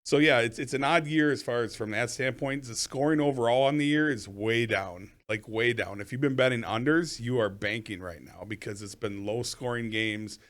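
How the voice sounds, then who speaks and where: A man co-hosting a sports betting podcast with a voice a bit off from a lingering cough, talking plainly with many pauses. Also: medium pitch, fairly bright tone. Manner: plainspoken, hesitant fillers. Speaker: podcast co-host. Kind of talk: casual sports betting chat.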